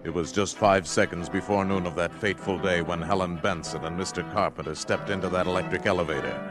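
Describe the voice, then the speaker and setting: A man's voice: low-pitched, steady tone, fast talker, natural voice. An actor as the narrator of a radio drama, a man telling the story in a theatrical, florid, suspenseful way. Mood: ominous. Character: dramatic storyteller, ominous and wryly relishing. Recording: music under the narration. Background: dramatic music.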